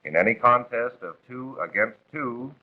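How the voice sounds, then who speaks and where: Narrow phone-like old film soundtrack, American man, husky voice, formal instructional narration, 1940s style. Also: medium pitch, expressive, normal speed.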